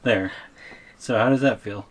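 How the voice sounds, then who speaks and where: A man's voice: fairly low pitch, a little slow, a little hiss or hum, close to an everyday voice. A man acting a tiny, shrunken captive boyfriend in an ASMR audio roleplay, reluctant and wary, with a hesitant, wry way of protesting. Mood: grudging.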